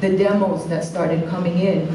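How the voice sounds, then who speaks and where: A woman's voice: on the low side, fairly even intonation, moderate pace. A woman in her thirties from Cape Verde speaking emphatically as a conference panelist. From born in Praia, Cape Verde. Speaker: panelist, singer and DJ. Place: stage in a conference hall. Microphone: handheld microphone, recorded by audience camera. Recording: echoey hall from far, noisy.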